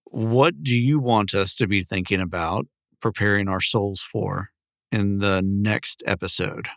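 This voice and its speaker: A man's voice: fairly low pitch, a little slow, creaky, dry recording, clean studio sound. A man talking casually as a podcast co-host. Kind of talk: casual chat.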